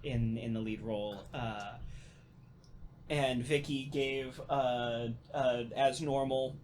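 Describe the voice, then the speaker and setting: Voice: mid-range voice, steady tone, slow pace, darkish tone. A host chatting casually and jokingly with friends, swearing freely. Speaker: host of a podcast talkback. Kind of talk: casual group chat. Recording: some background noise.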